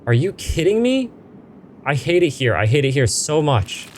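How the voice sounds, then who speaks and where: A man's voice: on the high side, very animated, moderate pace, slight background noise, pitched above the performer's own voice. A man voicing an irritable, sarcastic young woman in a game, snappy and exasperated.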